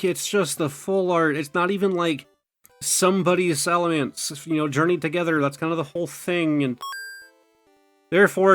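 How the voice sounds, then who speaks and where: A young man talks loosely and at length, his voice thin and worn as if it is going out. He leans on fillers and loses his thread before finding it again. Faint music plays quietly behind him. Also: sits high. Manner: rambling, full of "like" and "you know". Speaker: card-opening YouTuber. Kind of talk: casual chatty commentary.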